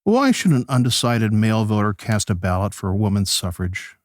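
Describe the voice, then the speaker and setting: An actor playing a showy 1911 radio host who announces and moderates a debate in a radio play. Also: male voice, fairly low pitch, big pitch swings, moderate pace, no echo, clean studio sound. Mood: probing. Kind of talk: old-time radio show hosting.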